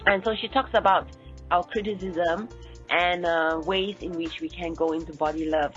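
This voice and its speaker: A woman's voice: fairly low pitch, steady tone, normal speed, faint music behind. A woman with a Singaporean accent hosting her podcast and chatting through past episodes with many fillers. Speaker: podcast host and sex coach. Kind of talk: solo podcast episode recap. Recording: tinny phone-like line.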